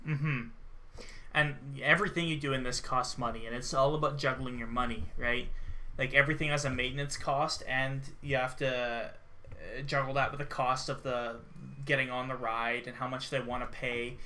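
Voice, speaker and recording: A man's voice: medium pitch, fairly even intonation, normal speed, pushed and bright, a little hiss or hum. A man in his thirties giving casual gameplay commentary as a co-host. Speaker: co-host of gaming series.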